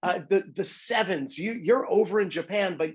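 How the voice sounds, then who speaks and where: A man's voice: high-pitched, normal speed, lo-fi sound. A man hosting a podcast, friendly and self-deprecating, often stumbling over and repeating words. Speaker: podcast host. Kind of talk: casual podcast interview.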